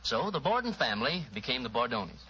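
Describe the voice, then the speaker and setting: A man's voice: medium pitch, sing-song, fast talker, close to an everyday voice. An actor playing a friendly, eager American man, a singer's brother, with an American accent in an old-time radio drama. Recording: muffled old radio recording, faint noise.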